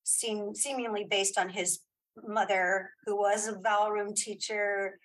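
A middle-aged woman chatting casually as an interview guest, giving opinions in halting, repeated phrases. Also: medium pitch, flat delivery, a bit bright, poor audio. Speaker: guest, playwright and audio drama creator.

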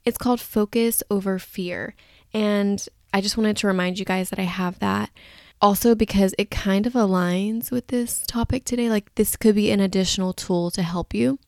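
A woman hosts a casual solo podcast in a gravelly, slightly groggy voice. She talks candidly and loosely, rambling as if chatting with friends. The sound is dry, with very little room around her. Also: mid-range voice, steady tone, moderate pace, a little mellow, no room sound. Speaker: podcast host.

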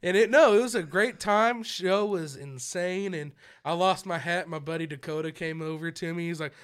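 A man's voice: high voice, moderate pace, a bit bright, smooth. A man in his twenties, a guest musician, chatting casually, laughing often and saying "dude" a lot. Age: 20s. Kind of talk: casual chat.

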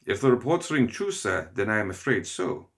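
A man's voice: on the low side, big pitch swings, normal speed, a bit bright, voice pushed up. A man voicing a nervous, formal lawyer who speaks deferentially.

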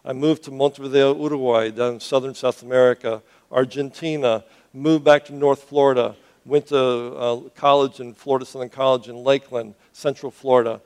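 A man's voice: medium pitch, steady tone, brisk, hardly any pauses, a little mellow, clean tone. A man who grew up in Cuba, preaching a sermon to a congregation in an earnest way, often restarting words and asking the audience questions. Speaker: pastor.

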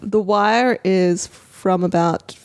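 A woman's voice: medium pitch, takes it slowly, a little mellow. A woman co-hosting a casual podcast, speaking with emphatic enthusiasm and articulate, descriptive phrasing. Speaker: podcast co-host.

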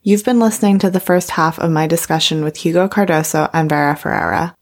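Female podcast host, low voice, composed scripted delivery. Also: fairly quick. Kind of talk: scripted outro.

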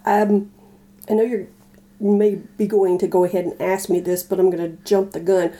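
A woman co-hosting a podcast in casual chat, thinking aloud in a tentative, halting way. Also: mid-range voice, little pitch movement, mellow. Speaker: podcast co-host.

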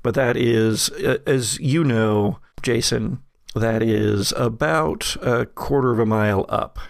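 A game master narrating a tabletop roleplaying game, explaining the scene in a halting, careful way. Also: mid-range voice, gravelly, dry recording, studio quality.

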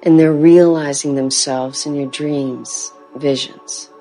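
Film therapist, composed analytical woman, low-pitched and mellow, music underneath. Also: fairly even intonation, low-quality recording. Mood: calm, analytical. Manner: measured, analytical. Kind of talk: horror film dialogue.

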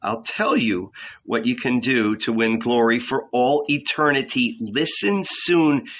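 A man's voice: medium pitch, expressive, measured pace, muffled recording. A man voicing a coarse, enraged innkeeper in a storybook reading, blunt, swaggering and scornful as he bellows. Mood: brash, goading.

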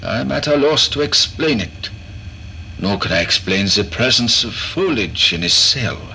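A man's voice: medium pitch, big pitch swings, normal speed, creaky, sharp tone, a little hiss or hum, exaggerated voice, low-quality recording. A man playing an eccentric, rational doctor in a horror audio drama, speaking formally and emphatically. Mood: baffled, exasperated. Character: eccentric male doctor, certain and rational, keeping a log.

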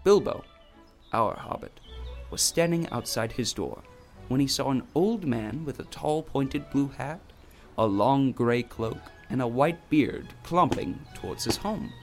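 A man's voice: mid-range voice, expressive, unhurried, gravelly, soft background music. A man playing a storyteller, narrating a fantasy tale in a scripted audio drama. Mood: whimsical storytelling. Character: animated male storybook narrator.

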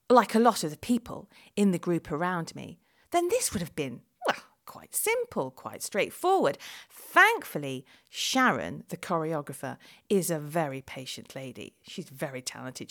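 A woman's voice: low voice, unhurried. Chatty, self-mocking middle-aged Englishwoman. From England. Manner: chatty, self-deprecating, expressive. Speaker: vlog presenter. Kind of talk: first-person diary narration.

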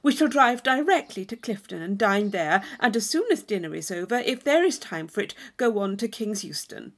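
A woman voicing an excitable, affectionate young society lady in a storybook reading, with effusive, drawn-out emphasis. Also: mid-range voice. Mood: breathless ecstasy.

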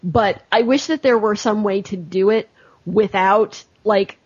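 A woman's voice: mid-range voice, measured pace, slightly rough, no echo. A woman talking casually as part of a panel discussion. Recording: dull, lo-fi voice recording.

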